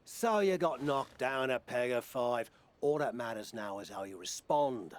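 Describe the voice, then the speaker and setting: A man's voice: mid-range voice, animated, measured pace, lightly acted. A man playing a blunt, supportive British wrestling promoter and mentor in a video game cut scene, with a wry manner. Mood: firm, concerned.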